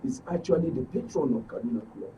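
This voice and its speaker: A man's voice: medium pitch, animated, quick pace, dark tone. A man with a Nigerian accent arguing his points insistently as a guest in a panel discussion. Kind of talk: argumentative studio discussion. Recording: dull, distant, faintly noisy mic.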